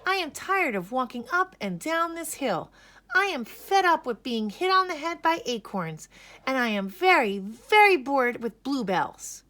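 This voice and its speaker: Woman putting on a high, plaintive little bunny. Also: big pitch swings, measured pace, bright, forceful tone, full character voice. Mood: bored, fed up. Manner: plaintive, emphatic. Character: fussy, restless little female bunny.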